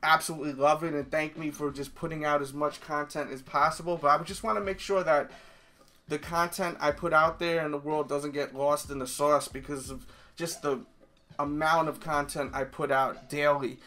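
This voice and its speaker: A chatty man with a New York accent talks straight to his viewers, rambling with fillers. His voice is bright and forceful and sits a little high. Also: fairly even intonation, moderate pace. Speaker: sneaker YouTuber. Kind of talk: casual vlog talk to viewers.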